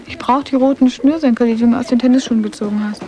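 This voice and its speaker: A woman's voice: a little high, steady tone, quick pace, over music, natural voice. A young woman playing a brusque, sarcastic teenage schoolgirl in a radio drama, blunt and snappy. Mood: snappy, dismissive.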